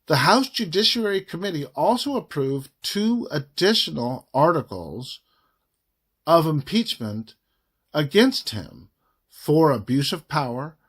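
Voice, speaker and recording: Guy reading an official document out loud, really slow, kinda higher voice, stops between phrases. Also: very animated, breathy edge. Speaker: reader of a government report. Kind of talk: reading a formal document aloud.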